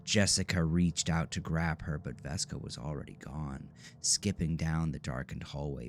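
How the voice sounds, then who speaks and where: A man with an extremely deep, creaky voice narrates a horror audio drama. He takes his time, with a measured and ominous storytelling delivery at a slow pace.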